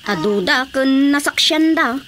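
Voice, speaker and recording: A woman's voice: high-pitched, lively intonation, unhurried, crowd noise. A woman with an Ilocano accent playing a concerned villager in conversational radio drama.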